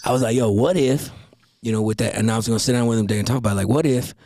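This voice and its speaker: A man's voice: mid-range voice, very fast, a little mellow, no room sound, clean studio sound. A man, chatting casually on a podcast as a guest comedian, loose and profane, telling stories with a drawl.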